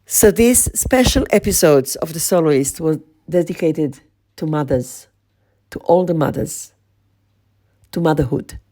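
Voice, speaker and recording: Slow, husky-toned woman podcast host. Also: low voice, dark tone. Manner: slow, measured, lingering on lists. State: voice strained, losing her voice. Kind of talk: reflective spoken podcast monologue.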